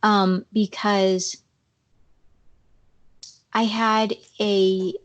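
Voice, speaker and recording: Slow-talking woman, slightly scratchy voice. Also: medium pitch, level tone, muffled recording. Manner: explanatory, uses fillers. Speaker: podcast guest. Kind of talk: casual interview.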